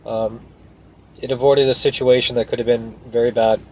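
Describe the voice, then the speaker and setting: A man's voice: medium pitch, level tone, normal speed, a little mellow, rough recording. A man who works as a truck driver tells a story casually, in a folksy, rambling and earnest way. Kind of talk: casual first-person storytelling to camera.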